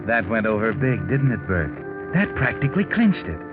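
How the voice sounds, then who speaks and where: An actor playing a sardonic, ominous narrator of a 1940s radio mystery, taunting and knowing. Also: male voice, fairly low pitch, big pitch swings, normal speed. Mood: mocking. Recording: muffled old radio recording. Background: music.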